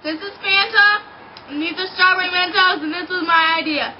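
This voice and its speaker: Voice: very high voice, moderate pace. A child or young teen student presenting a scripted homemade school film as narrator. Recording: dull, noisy homemade video sound.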